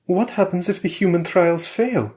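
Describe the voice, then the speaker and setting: A woman's voice: on the low side, normal speed, darkish tone, pitched above the performer's own voice, lightly acted. A man voicing an anxious, suspicious Russian wife who keeps pressing her husband with worried questions, in a spoken story.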